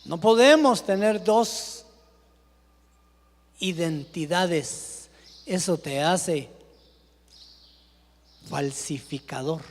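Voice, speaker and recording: A man, a pastor preaching a dramatic sermon that swings from loud calls to hushed asides. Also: on the high side, a little hiss or hum. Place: church. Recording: live church sermon with long reverb.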